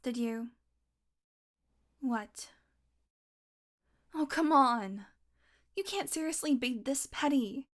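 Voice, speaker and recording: A woman's voice: high-pitched, takes it slowly, pauses often. A woman playing a hungry, irritable female vampire in an audio roleplay, sardonic and foul-mouthed, with teasing pet names. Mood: cranky, sarcastic. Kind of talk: audio roleplay acting, one-sided dialogue.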